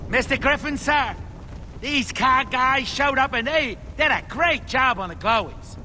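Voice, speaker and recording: An actor playing a scrappy, nervous lackey of a town boss in a video game. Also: male voice, very high voice, expressive, a little slow, background noise. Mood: nervous, deferential.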